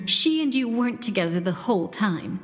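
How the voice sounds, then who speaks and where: A woman playing a soft-spoken game narrator who recalls bittersweet memories in a measured, wistful way. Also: fairly high pitch, big pitch swings. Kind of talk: slow storytelling narration in a video game. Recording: long reverb, music behind. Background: music.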